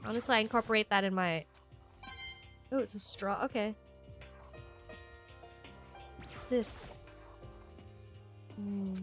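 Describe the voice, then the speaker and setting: A congested woman streaming a video game, reacting excitably with frequent gasps and laughs. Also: mid-range voice, takes it slowly, long pauses, faint music behind. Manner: excitable, gasping and laughing often. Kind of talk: casual gameplay commentary.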